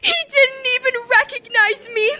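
A woman's voice: very high voice, steady tone, moderate pace, loud music behind the voice. A woman playing an earnest, distraught teenage daughter with a girlish voice in a radio comedy. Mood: tearful. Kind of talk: old-time radio comedy acting.

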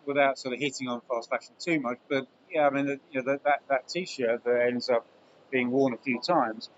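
A man's voice: mid-range voice, steady tone, brisk, muffled recording. A man hosting a casual podcast interview. He jokes and often stumbles over repeated words. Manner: hesitant, repeats words, joking. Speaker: podcast host.

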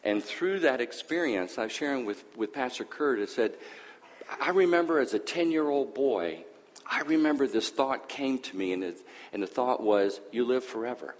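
A man's voice: medium pitch, animated, moderate pace, long reverb tail. A man born in Greece sharing his personal testimony at a church, talking conversationally with hesitant fillers.

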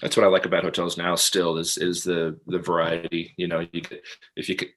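A man's voice: sits low, completely flat delivery, fairly quick, a bit bright. A man hosting a casual chat, asking hesitant questions full of fillers. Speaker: podcast host.

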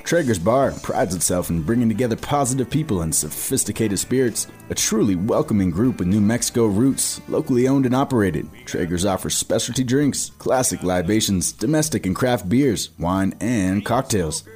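A man reads a scripted radio ad in a smooth, measured announcer delivery. His voice sits on the low side. A quiet guitar music bed plays under him. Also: lively intonation.

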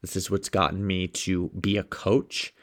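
A man's voice: low voice, flat delivery, measured pace. Congested upbeat male podcast host. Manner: upbeat, enthusiastic. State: stuffed up, sniffling. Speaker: podcast host and sales coach. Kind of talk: motivational podcast monologue.

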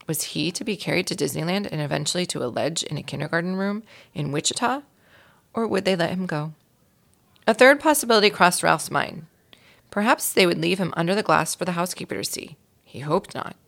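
Woman doing storytime read-aloud, expressive, kinda low voice w lots of fry. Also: brisk. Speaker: volunteer reader of a children's book.

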